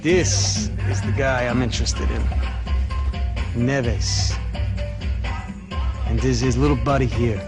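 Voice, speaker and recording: An actor playing a shrewd, genial police investigator with a Brazilian accent, talking in a wry, unhurried way in a game scene. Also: male voice, medium pitch, fairly even intonation, lightly acted. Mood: probing, matter-of-fact. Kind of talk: cinematic game dialogue. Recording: music behind the voice.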